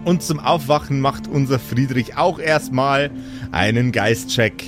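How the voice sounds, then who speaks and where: A man's voice: mid-range voice, measured pace, over music. A man as game master, improvising the story of a tabletop role-playing podcast in a playful, teasing way.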